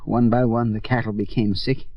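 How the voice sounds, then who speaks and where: An actor playing a sick, troubled farmer in a 1950s radio drama, speaking in a weak, halting way. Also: male voice, mid-range voice, little pitch movement, dry recording, close to an everyday voice. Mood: weary, troubled. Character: ailing, weary male Caribbean farmer, troubled and earnest. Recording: dull old radio recording.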